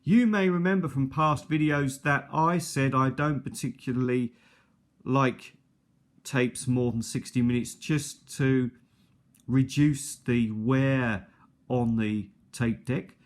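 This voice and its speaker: A middle-aged man with a mid-range voice talks casually and without a script, showing an item to viewers. He takes his time, speaking slowly and hesitantly, and wanders conversationally from point to point. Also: mid-range voice, fairly even intonation. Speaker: video host. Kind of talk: unscripted casual product show-and-tell. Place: indoors at a kitchen table. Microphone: handheld or nearby tablet's built-in mic.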